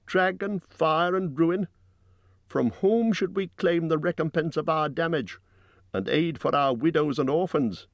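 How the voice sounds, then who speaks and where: Man acting a pompous old town master, pitched up. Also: animated, a little slow, dry recording, dull audio. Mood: indignant, pleading. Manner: pompous, oratorical, indignant. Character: cunning, self-serving old town master, pompous orator.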